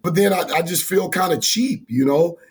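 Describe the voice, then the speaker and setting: A man in his forties, a college basketball coach, talking earnestly in an interview with a voice that is going hoarse, often restarting his sentences. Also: a little high, moderate pace. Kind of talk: interview answers.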